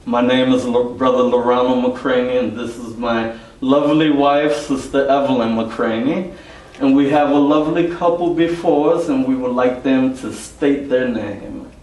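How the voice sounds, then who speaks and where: A man hosting a church testimony interview, speaking in a plain, deliberate and earnest way. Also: medium pitch, fairly even intonation, darkish tone. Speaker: interviewer and host. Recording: roomy, echoey, distant mic.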